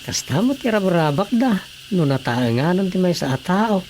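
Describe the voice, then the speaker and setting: A man's voice: sits high, sing-song, normal speed, a little mellow, a little hiss or hum. An actor with an Ilocano accent playing a matter-of-fact man explaining plans in a radio drama comedy.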